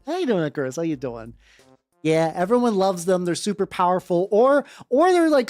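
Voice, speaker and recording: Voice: mid-range voice, darkish tone, no room sound. A livestream host chatting casually in a rambling, slangy, sweary way that often repeats phrases. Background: background music.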